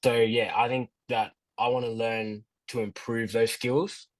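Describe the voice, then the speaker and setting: A young man answering questions as a prospective client, in a hesitant, halting and understated way. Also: mid-range voice, level tone, dry recording, low-quality recording. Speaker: prospective client on a sales call.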